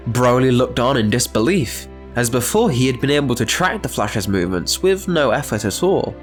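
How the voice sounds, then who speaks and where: A man's voice: medium pitch, animated, moderate pace, faint music behind. A man reading scripted, dramatic story narration as the narrator.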